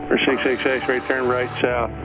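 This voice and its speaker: A man's voice: mid-range voice, little pitch movement, moderate pace, highs cut off. A man working as an air traffic controller, giving clipped, businesslike instructions over aviation radio. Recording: band-limited tower radio with music behind.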